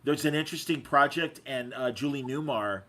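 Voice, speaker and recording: A man's voice: medium pitch, a little fast, a bit bright. A man hosting a casual interview podcast, chatty and effusive, often stammering and repeating his words as he goes. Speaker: podcast host.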